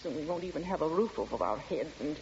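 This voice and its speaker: An actress playing a careworn middle-aged housewife with a London working-class accent, fretful and plaintive, in 1940s radio style on an old recording. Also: female voice, low voice, lively intonation, fast talker, a little mellow, dull audio, a little hiss or hum. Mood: fretful, bitter.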